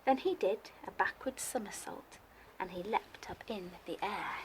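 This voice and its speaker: A woman's voice: mid-range voice, unhurried, very noisy. A woman reading a story aloud to young children expressively, like a primary teacher.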